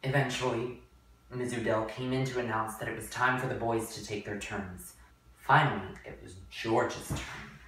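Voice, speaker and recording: A woman's voice: rumbling low, fairly even intonation, moderate pace, fairly bright tone. A young adult woman reading scripted story narration as the narrator. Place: bare indoor room. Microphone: camera mic a few feet away. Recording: echoey room, distant mic, faint noise.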